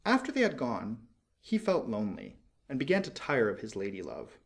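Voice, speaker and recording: A man narrates a children's storybook in a mid-pitched voice. His reading is expressive and scripted, with widely swinging intonation. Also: normal speed, creaky. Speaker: audiobook narrator.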